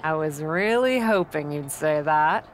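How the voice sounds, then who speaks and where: A woman's voice: deep voice, animated, a little slow, a little hiss or hum. An actress playing a confident young female wrestling champion who talks in a playful, persuasive way. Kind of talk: video game cutscene acting.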